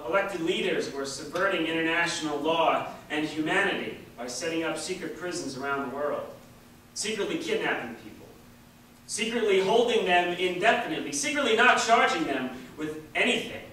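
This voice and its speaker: Echoey, bright-voiced man reading aloud. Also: a little high, expressive, moderate pace. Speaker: reader at a staged public reading. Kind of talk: dramatic reading of a written political essay aloud. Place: large indoor hall at a public event. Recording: echoey hall from far off, faint noise.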